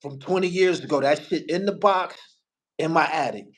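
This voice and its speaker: A man's voice: fairly high pitch, normal speed. A middle-aged man hosting a casual talk, profane and emphatic, hammering phrases by repeating them. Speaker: podcast host. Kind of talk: casual street-slang talk.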